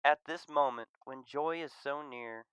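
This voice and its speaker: A man reading a visual novel aloud as expressive scripted narration. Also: medium pitch, measured pace, clean tone, no echo.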